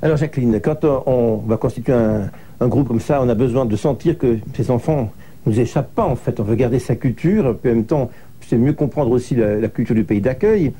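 A man's voice: mid-range voice, fairly quick, warm tone, husky. A male host asking polite, leading interview questions and summing up his guests' story.

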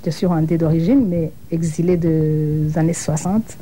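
A woman's voice: sits low, fairly even intonation, a little slow, dark tone, slight background noise. A middle-aged woman from Burundi, giving an earnest, thoughtful account as an interview guest. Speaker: interview guest, association co-founder. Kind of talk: reflective broadcast interview.

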